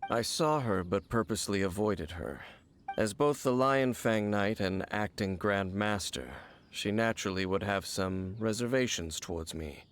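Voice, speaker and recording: A man plays a reserved wandering warrior from a video game. His voice is fairly low, with serious, measured and formal phrasing. A faint rumbling noise sits behind it. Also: lightly acted. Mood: guarded, serious.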